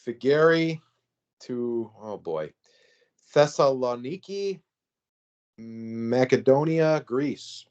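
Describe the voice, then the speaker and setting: A man's voice: medium pitch, animated, drawn-out words, pauses often, a little mellow, lo-fi sound. A man hosting a casual reality-show review podcast, talking in a rambling way with many fillers and easy laughs. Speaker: podcast host. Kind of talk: casual chat.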